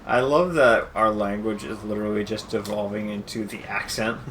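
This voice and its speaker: A man's voice: on the low side, steady tone, normal speed, a bit bright, a little hiss or hum, rough recording. A man co-hosting a video game playthrough, riffing casually and swearing as he jokes with his partner. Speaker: let's play co-host. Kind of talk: casual joking banter over gameplay.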